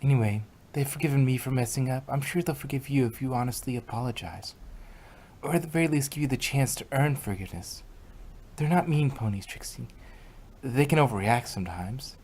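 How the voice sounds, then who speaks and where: A man's voice: mid-range voice, a little fast, a little mellow, slight background noise, lightly acted. Man voicing an earnest, caring unicorn mare. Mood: reassuring. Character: earnest, caring female unicorn pony, reassuring friend.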